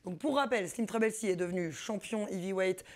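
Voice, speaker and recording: A woman in her forties hosts a sports program from a TV studio. Her pitch sits in the middle range. She introduces each segment in a lively, upbeat way. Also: brisk. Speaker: show host. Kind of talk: TV sports show hosting.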